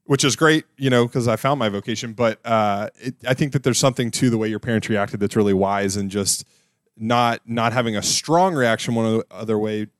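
A man co-hosting a casual conversation, playful and quick to laugh. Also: fairly low pitch, dry recording, studio quality. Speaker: podcast co-host. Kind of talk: casual chat.

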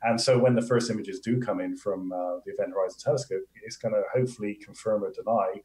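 Guest: English man, low voice, keen and chatty, roomy echo. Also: steady tone, fast talker, a little mellow. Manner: enthusiastic, chatty, laughs often. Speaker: guest astrophysicist. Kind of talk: casual live Q&A chat.

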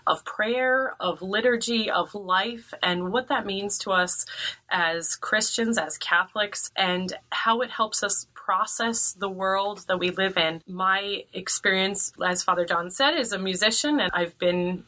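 Bright-voiced woman, an enthusiastic co-host. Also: mid-range voice, measured pace, rough recording. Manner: lively, enthusiastic, trained singer. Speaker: podcast co-host, evangelization staff. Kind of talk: casual conversation.

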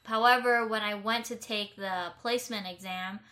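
A woman's voice: mid-range voice, fairly even intonation, moderate pace, sharp tone. A young woman from the United States hosting a casual explainer vlog, chatty and rambling with many restarts. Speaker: host.

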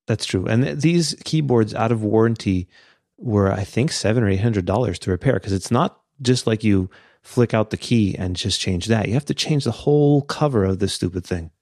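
A man's voice: lowish voice, normal speed, a little mellow, no room sound, studio quality. A man co-hosting a tech podcast, laughing easily and restarting his sentences. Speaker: podcast co-host. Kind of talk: casual tech chat.

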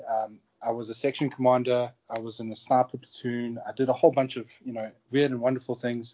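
A South African man in his thirties tells his story over a remote call, chatty and quick to chuckle. His voice sits in a middle range. The audio is muffled and lo-fi. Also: mid-range voice. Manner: chatty, warm, chuckles often. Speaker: guest, security contractor. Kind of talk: casual interview storytelling.

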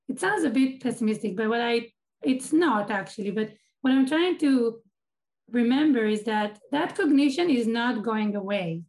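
A woman's voice: a little high, darkish tone, low-quality recording. A woman from Israel whose first language is Hebrew, an academic and activist giving thoughtful interview answers and often restarting her sentences.

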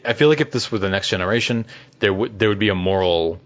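Man, fairly low voice, casual fan podcast co-host, earnest, slightly dull recording. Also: quick pace.

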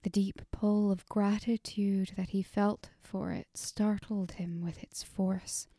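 A woman narrates an audiobook in a soft, breathy whisper. Her pace is very slow and her tone mellow. The recording is close and dry, with no room sound. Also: mid-range voice, flat delivery, no room sound.

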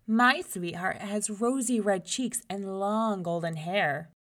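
A woman's voice: medium pitch, slow pace, fairly bright tone. A woman voicing a young farm man, a mocking and boastful older brother, in a children's story. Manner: taunting, boastful.

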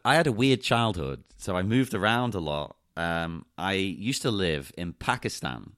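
Very animated man, dry close sound. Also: low voice, unhurried, dry recording. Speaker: podcast guest. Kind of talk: casual chat.

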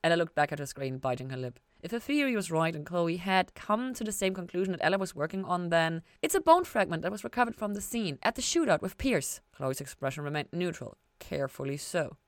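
Female story narrator, slightly low register, expressive scripted read, close dry sound. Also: fairly quick, few pauses, no echo. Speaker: podcast host reading fan fiction.

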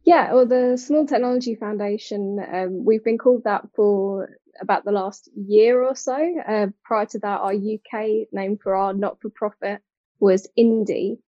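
Interview guest: British woman, mellow tone, articulate and explanatory, conversational delivery, slightly dull dry recording. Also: medium pitch, fairly even intonation, a little slow, no echo. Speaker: interview guest, technology designer and advocate.

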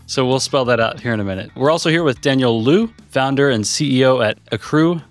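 A man's voice: mid-range voice, animated, a little fast, soft background music. A man co-hosting a podcast, moving between scripted introductions and casual interview questions. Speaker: podcast co-host, senior developer.

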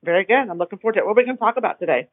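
A man's voice: sits high, rapid-fire, dry recording. A man co-hosting a podcast, chatting casually with short, agreeable replies. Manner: brief, agreeable responses. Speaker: podcast co-host. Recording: tinny phone-like line.

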